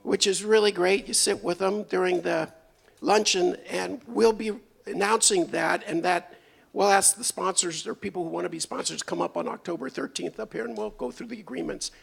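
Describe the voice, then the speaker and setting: A hoarse man giving upbeat, chatty membership announcements at a church service. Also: sits high, steady tone, moderate pace. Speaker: membership speaker at a spiritual center.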